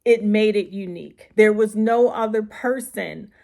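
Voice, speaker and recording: A woman writer giving informal instructional advice, speaking in an emphatic, deliberate way with stressed repeats. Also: medium pitch, gravelly, warm tone. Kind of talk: informal instructional talk to camera.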